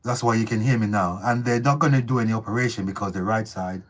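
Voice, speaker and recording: A man whose voice has gone hoarse and strained since surgery left one vocal cord not working, chatting casually on a live stream and telling stories to viewers. Talking hurts him. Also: fairly low pitch, fast talker. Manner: relaxed storytelling, addresses viewers as guys. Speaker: co-host of family channel.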